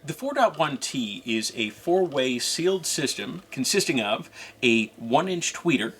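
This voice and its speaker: A man's voice: mid-range voice, big pitch swings, unhurried, fairly bright tone, a little hiss or hum. A man narrating a scripted, informational product review as co-host.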